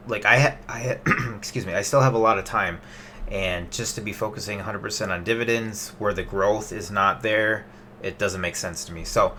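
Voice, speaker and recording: A man's voice: on the low side, sharp tone, a little hiss or hum. A man in his late twenties giving a loose, rambling investing update to his webcam, with plenty of fillers and restarts. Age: 20s. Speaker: investing vlogger.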